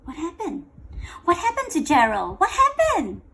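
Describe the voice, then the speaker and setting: A woman reading a picture book aloud to a child in a playful, expressive way, drawing out words and asking questions. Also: very high voice, normal speed, a little hiss or hum. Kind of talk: animated bedtime read-aloud with questions to a child.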